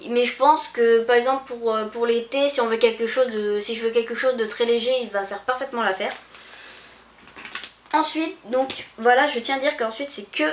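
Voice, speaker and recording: A young woman, chatting casually through a beauty haul, full of pauses and restarts. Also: on the high side, a little hiss or hum. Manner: hesitant, many fillers and restarts. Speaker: beauty vlogger. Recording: echoey room.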